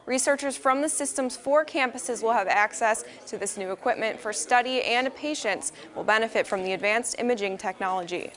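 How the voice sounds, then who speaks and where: A female TV news reporter delivering a crisp, scripted package. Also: a little high, fairly bright tone.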